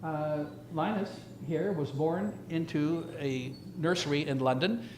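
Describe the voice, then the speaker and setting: Man in his seventies, slightly raised pitch, informal slide lecture, halting, conversational, direct address, light noise behind. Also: a little mellow, poor audio. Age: 70s. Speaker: retiring surgeon giving an emeritus lecture.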